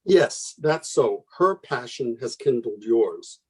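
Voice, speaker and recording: A man's voice: mid-range voice, lively intonation, slow pace, husky. An actor playing a troubled man in a radio play, earnest and challenging as he argues. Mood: concerned, challenging. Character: troubled man arguing against a loved one's conversion.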